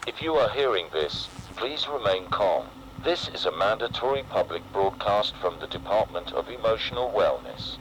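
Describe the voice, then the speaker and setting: A man playing a calm official emergency announcer, reading a public broadcast in a clinical, formal way. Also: lowish voice, normal speed, few pauses, slight background noise, poor audio. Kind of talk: scripted public broadcast narration.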